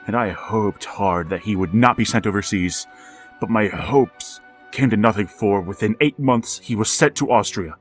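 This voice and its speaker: An actor playing a lonely, embittered widowed father who speaks in a measured, formal, brooding way. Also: lowish voice, faint music behind, lightly acted. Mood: grave. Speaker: narrator of the story. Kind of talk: 1940s radio drama narration.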